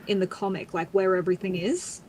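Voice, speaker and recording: A woman's voice: fairly low pitch, steady tone, fairly quick, dark tone, a little hiss or hum, low-quality recording. A woman, chatting casually in a blunt, teasing way. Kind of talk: casual chat.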